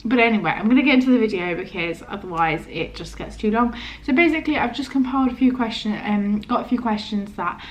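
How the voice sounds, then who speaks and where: A young woman vlogger talks casually to camera. She has a stammer and speaks haltingly, often trailing off before she completes a thought. Her pitch sits in an ordinary range for a woman. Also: muffled recording.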